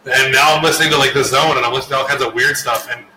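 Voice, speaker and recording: A man's voice: mid-range voice, steady tone, very fast. Thirties male co-host, laid-back rambler; echoey, noisy room. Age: 30s. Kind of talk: casual storytelling. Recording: echoey room with loud background noise.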